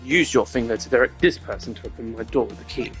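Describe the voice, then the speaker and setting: A man voicing a proud, stern male prosecutor in a video game, speaking in formal, measured accusations. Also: medium pitch, over music, lightly acted. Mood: cool, composed.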